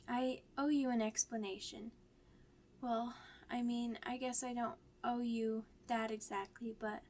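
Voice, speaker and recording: A woman playing a shy, grieving, vulnerable young werewolf pup in an ASMR audio roleplay, with halting, stammering, childlike speech. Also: fairly high pitch. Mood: shy, grateful.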